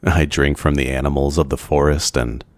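Man playing a very deep, brooding vampire. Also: level tone, moderate pace, no room sound. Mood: regretful, brooding. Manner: formal, hesitant, softly courteous. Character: brooding, courteous, lonely old immortal male vampire. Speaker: ASMR roleplay voice actor. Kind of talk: slow, intimate ASMR roleplay acting.

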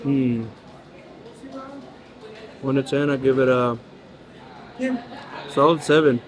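A man working as an usher, giving a slow, deliberate review of a cookie. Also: mid-range voice, fairly even intonation. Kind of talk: casual food review.

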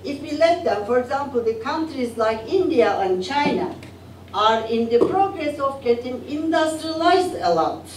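An older woman gives a lively, questioning panel talk, her voice set fairly high and swinging widely in pitch. She is heard from a distance through a podium mic, with the sound echoing around the conference room. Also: moderate pace, slight background noise. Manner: animated, poses rhetorical questions to audience. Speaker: panelist, academic. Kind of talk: lecture-style panel talk. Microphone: podium mic, recorded from far camera. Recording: roomy hall audio from far off.

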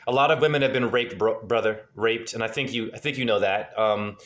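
A man's voice: on the low side, fairly quick, a bit bright. Earnest man on a video call. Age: 40s. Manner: freewheeling, earnest, asks probing questions. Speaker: spiritual numerology reader. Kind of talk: casual video-call name reading. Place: dim room indoors at home. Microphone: webcam on a video call.

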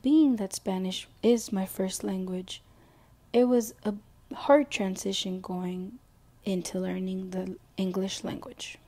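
A young woman with a Mexican background reading a prepared self-introduction as an education student, slowly and carefully. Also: mid-range voice, fairly even intonation, warm tone. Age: young adult. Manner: slow, careful reading, switching to Spanish for a passage.

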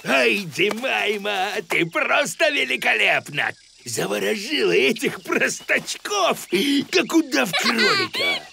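A woman voicing a sly, grumbling cartoon porcupine thief with a gravelly, theatrical, wheedling delivery. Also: a little high, big pitch swings, takes it slowly, noisy background, full character voice. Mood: gloating. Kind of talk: animated children's cartoon acting. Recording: music behind the voice.

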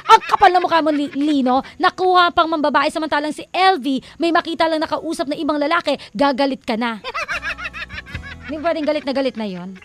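A woman's voice: high voice, fairly quick, noisy background. A woman co-hosting a radio drama show, bantering playfully, laughing often and reading out listener messages. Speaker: radio DJ co-host. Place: radio studio. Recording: music bed under the talk.

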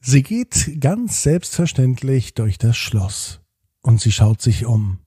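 Male narrator, for children's bedtime tales; dark, relaxed, husky tone, gentle storytelling delivery. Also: mid-range voice, takes it slowly, no echo, clean studio sound.

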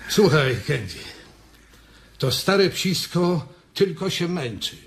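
An actor playing a blunt, callous ranch hand in a radio drama, pushy and insistent. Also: male voice, on the high side, animated, slow, gravelly, heavily acted.

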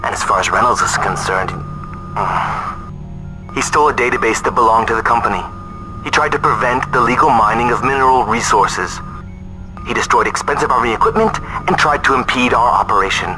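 A man's voice: mid-range voice, moderate pace, music in the background, natural voice, poor audio. A man playing a condescending, coldly menacing colonel, a sardonic villain in a video game.

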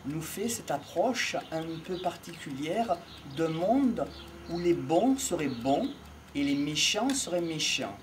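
Slow, halting middle-aged man. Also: on the high side, sing-song, slight background noise. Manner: measured, halting, pausing between short phrases. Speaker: amateur lecturer on psychology and philosophy. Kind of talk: improvised reflective monologue. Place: outdoors in an open field by trees. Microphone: small wired mic held near the mouth.

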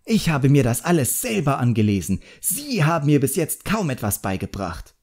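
Defiant young boy apprentice, voiced by a man, pitched up, self-assured, eager, audiobook character. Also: sing-song, normal speed, a little mellow. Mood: indignant.

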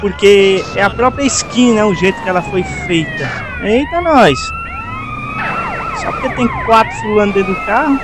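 A man's voice: sits high, huge pitch swings, moderate pace. A man with a Brazilian accent giving casual commentary as host of a gaming video, with game noise behind him. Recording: noisy, game traffic behind voice. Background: game sounds, cars and sirens.

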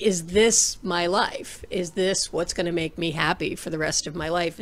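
A woman in her forties from New England, a guest telling her story in a casual interview, animated and reflective, on a desk mic at home over a video call. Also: lowish voice. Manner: animated, reflective, rises for emphasis. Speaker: interview guest, documentary director. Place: small home room. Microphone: desk microphone on video call.

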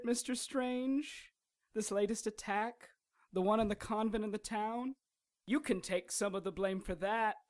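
An actor playing a sinister, gloating troll villain in a radio drama, mocking and courteous with drawn-out menace. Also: medium pitch, natural voice.

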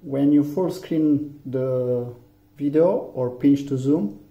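A man with a Romanian accent speaks slowly and haltingly, pausing on fillers as he walks through phone settings. His voice is very dark and mellow. The sound is echoey and a little dull, like a lo-fi recording in a room. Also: medium pitch. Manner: halting, many fillers, plain instructions. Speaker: tech tutorial maker. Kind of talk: hands-on phone tutorial. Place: room indoors, phone on a table.

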